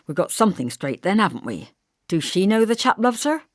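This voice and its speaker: A woman's voice: mid-range voice, very animated, a little fast, dry recording, studio quality, pitched above the performer's own voice. A woman voicing a blunt, bossy young working-class man in an audiobook, brusque and insistent, with colloquial turns of phrase. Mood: probing. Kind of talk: audiobook character dialogue.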